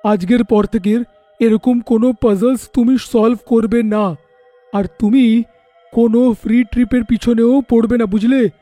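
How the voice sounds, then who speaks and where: A man's voice: extremely high pitch, fairly even intonation, measured pace, mellow, no room sound, soft background music. A man voicing a worried, protective husband and father in a cartoon story, alarmed and scolding by turns. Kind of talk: cartoon voice acting.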